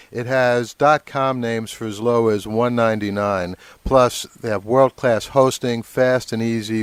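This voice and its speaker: A man's voice: medium pitch, clear, resonant tone, no room sound. A man speaking as a podcast host, chatty and emphatic. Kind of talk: casual interview and scripted podcast segments.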